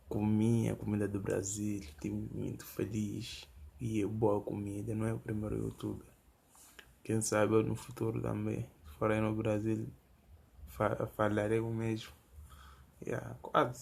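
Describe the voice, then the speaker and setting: A man's voice: on the low side, flat delivery, normal speed, gravelly, a little mellow, breathy edge. A man with a Mozambican Portuguese accent, commenting casually as a reaction youtuber and summing up a played clip. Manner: summarizes and comments plainly.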